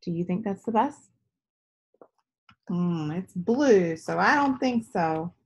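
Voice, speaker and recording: A woman's voice: fairly low pitch, expressive, slow pace, breathy edge. A woman in her thirties reading aloud for young children, teacherly and prompting with questions. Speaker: read-aloud storyteller. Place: small living room indoors.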